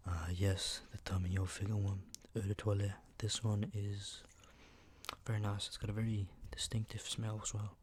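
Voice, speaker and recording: Low-voiced man doing soft, casual ASMR. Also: completely flat delivery, normal speed. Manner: soft-spoken, partly whispered, offhand. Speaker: ASMR video host. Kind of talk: ASMR, casual product chat. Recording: clear background noise, tapping and clicking sounds.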